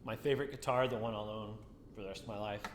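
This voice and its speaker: A man with a deeper voice giving a casual guitar review, musing and repeating words as he talks. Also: steady tone, fast talker, echoey room, in a large hall, background noise.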